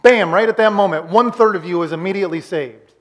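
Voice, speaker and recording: A man's voice: high-pitched, fast talker, lo-fi sound. A man in his forties preaching a conversational sermon as pastor, often restarting and repeating words. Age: 40s. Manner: conversational, repeats words, puts on quoted voices. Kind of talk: sermon recap.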